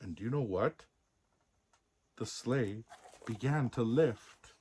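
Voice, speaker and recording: A man narrating a children's story as a storybook reader, expressive, playful and theatrical, relishing the sound words. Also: mid-range voice, slow pace, a little hiss or hum. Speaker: storybook reader in a Santa persona.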